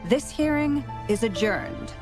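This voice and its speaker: Authoritative female court official, slow, formal, measured, mid pitch, video game, clear background music. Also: expressive. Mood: stern, official.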